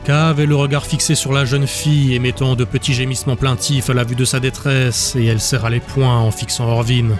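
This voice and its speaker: Even-toned male audiobook narrator. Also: medium pitch, a little fast, few pauses, over music. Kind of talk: scripted dramatic fantasy audiobook narration.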